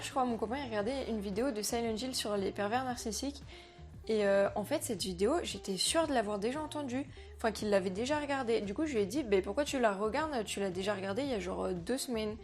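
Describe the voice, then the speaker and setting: A young woman, a French native speaker, chatting to camera in a slangy, animated way, talking about herself as a vlogger. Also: fairly high pitch, quick pace, faint music behind. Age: young adult. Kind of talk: casual chat to camera.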